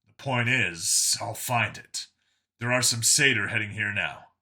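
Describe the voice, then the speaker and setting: A man voicing a dry, matter-of-fact detective in an audio story, speaking in a deadpan way. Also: lowish voice, unhurried, exaggerated voice. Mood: dry, resolute. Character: Vincent, dry, matter-of-fact male detective, hard to kill.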